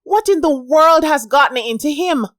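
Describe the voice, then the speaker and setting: A woman's voice: sits high, expressive, moderate pace, no echo, clean studio sound, voice pushed up. A woman voicing a protective, motherly woman friend who is indignant and emphatic. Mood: furious.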